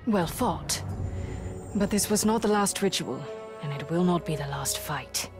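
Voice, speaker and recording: Stern warrior woman, lowish pitch, measured, grave, fantasy game drama, loud score. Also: animated, over music. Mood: stern resolve. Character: stern, wary warrior woman hunting undead wraiths.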